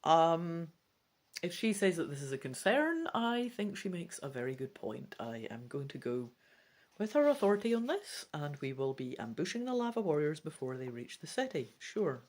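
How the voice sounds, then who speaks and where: A woman's voice: low-pitched, very animated, normal speed, a little mellow. A woman reading a fantasy gamebook aloud and talking through her choices as she plays. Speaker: gamebook player and reader. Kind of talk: dramatic storybook reading with casual commentary.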